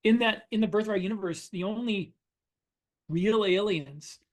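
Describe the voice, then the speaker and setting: A man's voice: sits high, measured pace. A man who co-hosts a podcast and writes fiction, speaking in a casual, conversational way about his craft. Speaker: podcast host and science fiction author. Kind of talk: casual discussion of writing craft.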